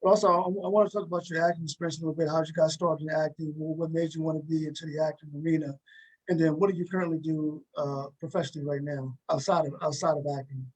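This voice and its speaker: A man hosting a conversational interview, speaking haltingly and repeating words as he frames his questions. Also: on the high side, fairly even intonation, poor audio. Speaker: host and interviewer.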